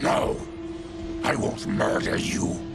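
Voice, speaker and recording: An actor playing a grim, honorable monstrous warrior in a video game, with a gravelly, growling voice. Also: male voice, slow, over loud music, lightly acted. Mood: firm. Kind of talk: dramatic video game voice acting.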